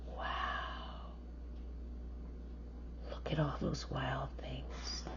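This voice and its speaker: Slow, expressive woman storyteller, slightly muffled. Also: very deep voice, whispered. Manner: expressive, drawn-out phrasing, gasps of wonder and questions to young listeners. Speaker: storyteller reading a picture book to children. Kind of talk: animated children's read-aloud storytelling. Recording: dull lo-fi sound with faint noise.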